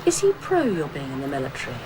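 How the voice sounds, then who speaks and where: A woman's voice: low voice, wildly swooping pitch, fast talker. A woman interviewer asking direct, probing questions off camera. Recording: loud background noise.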